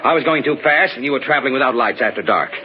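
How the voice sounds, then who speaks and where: An actor playing a tough, wry young American private detective in a radio drama, with hardboiled slangy delivery. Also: male voice, medium pitch, racing, slight background noise, lightly acted. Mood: brisk, sardonic. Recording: dull old radio recording with music.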